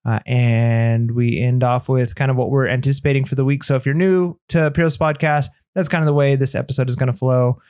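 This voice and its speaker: A man's voice: medium pitch, fairly quick, hardly any pauses, smooth. A man hosts a casual podcast in an everyday mid-range voice. He speaks steadily and explains things plainly, guiding the conversation from one topic to the next. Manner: steady, explanatory, guides the talk. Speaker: podcast host. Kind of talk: casual chat.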